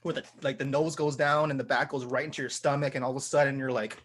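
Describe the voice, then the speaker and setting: A man's voice: medium pitch, flat delivery, quick pace, a bit bright. A man from Hawaii chatting casually as an interview guest, informal and slangy, with plenty of filler "like". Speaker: band musician, interview guest. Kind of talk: casual chat, anecdotes.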